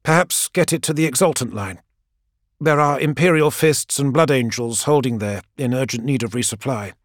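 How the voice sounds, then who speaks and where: A man narrating an audiobook voices a weary woman leader who speaks calmly and quietly. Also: medium pitch, normal speed, dry recording, studio quality, lightly acted.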